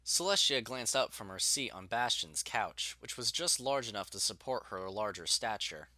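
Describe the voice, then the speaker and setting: Male storybook narrator, animated intonation, crisp forward tone, very dry sound. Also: fairly low pitch, normal speed, no room sound.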